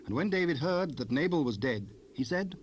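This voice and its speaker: A man narrating King James scripture in a measured, formal storytelling manner. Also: a little high, animated. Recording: unprocessed cassette rip with noise.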